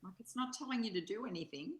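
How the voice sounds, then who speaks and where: A woman speaking in a measured, reflective way, as in a spiritual reading and study conversation. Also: mid-range voice, animated. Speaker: co-presenter reading and discussing a spiritual text. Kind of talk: slow read-aloud and reflective study conversation. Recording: roomy, echoing sound.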